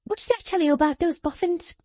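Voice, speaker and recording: A woman voicing a shrill, excitable young woman who exclaims and scolds in a high voice. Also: fast talker, no room sound. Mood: excited scolding.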